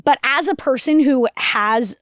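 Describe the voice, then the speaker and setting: A young woman with a raspy voice co-hosting a casual podcast, rambling with restarts and lots of "like". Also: high-pitched, measured pace, fairly bright tone, dry recording, studio quality. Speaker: podcast co-host. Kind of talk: casual chat.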